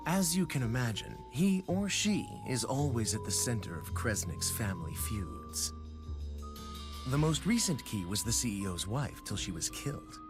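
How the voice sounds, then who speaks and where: An actor playing a smug, scheming corporate director in a video game, polite yet condescending and insinuating. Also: male voice, mid-range voice, big pitch swings, normal speed, close to an everyday voice. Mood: smug, explanatory. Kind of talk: video game cutscene acting. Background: background music.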